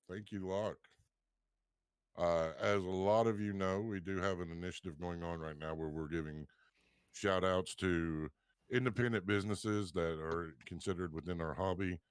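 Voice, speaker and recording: A man with a low voice hosts a tabletop roleplaying show. He announces things with a playful, theatrical touch, and the sound is close and dry. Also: smooth, dry recording. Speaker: game master and show host. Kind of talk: hosting intro of a tabletop game show.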